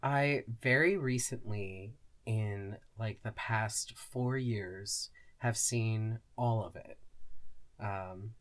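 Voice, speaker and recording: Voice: mid-range voice, extremely slow. A podcast co-host in casual conversation, talking in a hesitant, thoughtful and self-effacing way.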